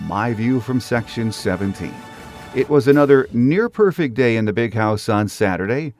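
A man's voice: lowish voice, darkish tone, no echo, clean studio sound. An American man hosting a sports podcast, reading a scripted monologue.

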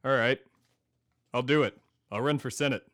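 A man's voice: medium pitch, normal speed, fairly bright tone, lightly acted. A man playing a pompous blue-blood lobbyist and podcast host with a New England accent in a scripted comedy. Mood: scheming. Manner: pompous, indignant, declamatory.

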